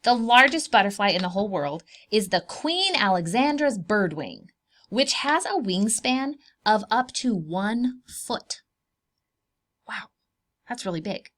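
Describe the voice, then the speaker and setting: A woman's voice: mid-range voice, a little slow. Woman reading aloud to young children. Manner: simple explanations with questions to the young listeners; expressive, emphasizing key words for small children. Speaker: children's read-aloud host and preschool teacher.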